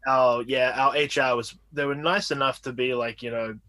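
A man in his thirties from New Zealand with a New Zealand accent, a guest chatting casually from home, laid-back and quick to laugh. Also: mid-range voice, steady tone, sharp tone. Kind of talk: casual interview chat.

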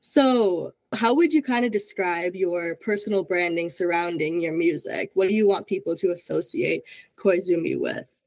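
A woman's voice: on the low side, normal speed, no echo, poor audio. A woman hosting a podcast interview, upbeat and enthusiastic, praising her guest often. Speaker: podcast host. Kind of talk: casual interview chat.

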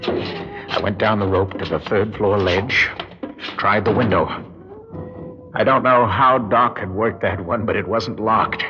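A man's voice: fairly low pitch, unhurried, pauses often, natural voice. An actor playing a nervy, guilt-ridden burglar who tells his crime in a hard-boiled radio drama style. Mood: tense, brooding. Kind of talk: radio drama acting with first-person noir narration. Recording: muffled vintage radio recording, music behind.

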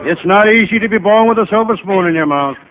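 A male comedian playing a wisecracking, hapless private eye, narrating in deadpan first person in old-time radio comedy style. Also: high-pitched, fast, over a noisy crowd, natural voice. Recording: noisy, tinny vintage radio recording.

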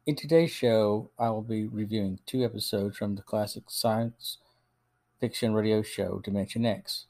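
A man's voice: fairly low pitch, fairly even intonation, normal speed, dark tone. A man hosting a podcast, reading a scripted review in a narrating style. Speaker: podcast host.

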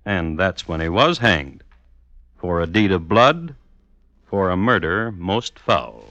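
Low, bright, wry crime storyteller. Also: male voice, no room sound, muffled recording, exaggerated voice. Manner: deliberate, deadpan, ironic. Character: dry, wry male narrator of true crime tales. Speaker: host. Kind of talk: 1950s radio narration.

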